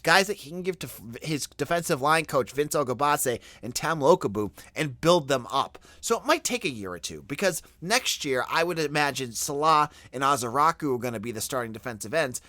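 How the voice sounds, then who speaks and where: A man in his thirties with a Boston accent talks through team news as a podcast host. His voice is bright and forceful, with lively swings in pitch. The recording is dry and close. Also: dry recording. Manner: conversational, lively, self-correcting. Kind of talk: casual sports podcast talk.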